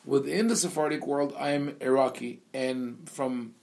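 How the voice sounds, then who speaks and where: Brief: man from Israel, rabbi teaching a seminar, mid-pitched, stop-start delivery full of fillers. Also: a little slow, creaky, darkish tone. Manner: hesitant, many fillers and restarts. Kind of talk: lecture.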